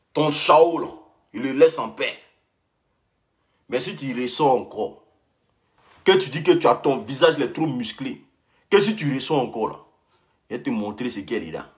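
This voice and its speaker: A young man, ranting heatedly on a live stream and repeating himself as he answers a rival. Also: mid-range voice. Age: young adult. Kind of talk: casual live-stream rant.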